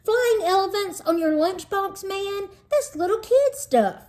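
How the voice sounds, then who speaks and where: A woman's voice: very high voice, animated, a little slow, darkish tone, voice pushed up. A woman voicing a cocky, mocking fourth-grade boy in a storybook, taunting and emphatic. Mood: scornful.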